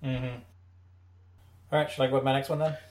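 A man's voice: medium pitch, little pitch movement, brisk, a little mellow. A man co-hosting a podcast in casual, rambling, enthusiastic conversation. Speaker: podcast co-host. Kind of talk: casual discussion of audio dramas. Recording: echoey room, distant from mic.

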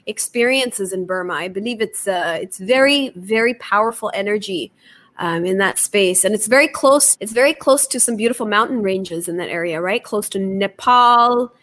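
A woman's voice: mid-range voice, normal speed, fairly bright tone. A woman hosting an interview, asking reflective questions and sharing asides about herself. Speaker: interview host. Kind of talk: casual interview conversation.

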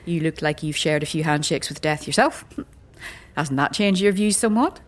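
An actress playing a very old, weary and sardonic priestess in a fantasy audio drama, blunt, with archaic slang and wry chuckles. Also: female voice, low-pitched, normal speed, lightly acted. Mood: frank, curious. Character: very old striking woman, sardonic, weary, doubting death-cult priestess.

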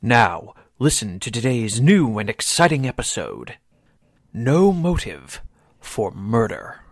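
A man's voice: medium pitch, sing-song, slow, dry recording, studio quality, heavily acted. A man playing an emphatic, dramatic announcer in the style of 1940s radio drama. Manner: theatrical, emphatic.

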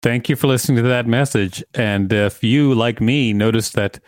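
A man's voice: medium pitch, normal speed, clean tone, no room sound, clean studio sound. A man co-hosting a podcast, reading an article aloud in a casual way and breaking in with sarcastic asides. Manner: wry, interrupts the text with sarcastic asides.

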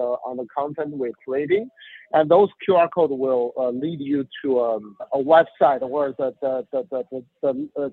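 A man who is a non-native English speaker, explaining his art in an interview, haltingly and with repeated words. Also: mid-range voice, dry recording. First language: not English. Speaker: artist, interviewee. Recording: thin phone-like remote line, dry.

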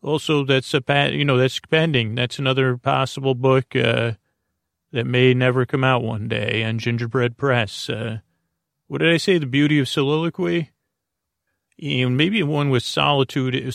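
Creaky-voiced man rambling softly for bedtime. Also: medium pitch, fairly even intonation, no echo, studio quality. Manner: meandering, halting, often trailing off and circling back; gently silly, friendly. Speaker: podcast host. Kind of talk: rambling bedtime sleep podcast monologue.

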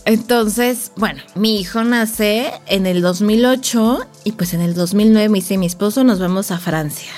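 A Mexican woman in her forties, a podcast guest, telling lively stories about living abroad in a casual chat. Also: mid-range voice, unhurried, few pauses, faint music behind. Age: 40s. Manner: lively storytelling with laughs.

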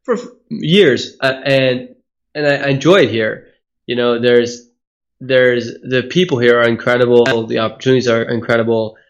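A man's voice: mid-range voice, steady tone, normal speed, highs cut off. A man from Hawaii, a speaking coach, giving earnest, reflective interview answers that explain his ideas at length. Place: Australia.

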